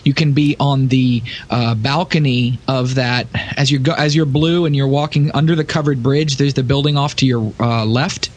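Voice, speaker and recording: Man, mid-pitched voice, casual gaming talk, detailed explanatory delivery, podcast panelist. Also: steady tone, normal speed, hardly any pauses. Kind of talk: casual game strategy discussion.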